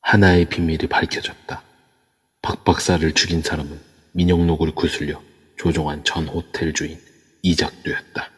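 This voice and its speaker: A man narrating an audio drama in an even storytelling manner. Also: very deep voice, normal speed, husky. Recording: echoey long reverb.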